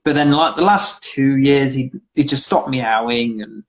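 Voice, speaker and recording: English guest, mid-pitch, chatty rambler, casual stream chat. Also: unhurried. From England. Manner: rambling, anecdotal, lots of fillers and restarts. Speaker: guest on a livestream.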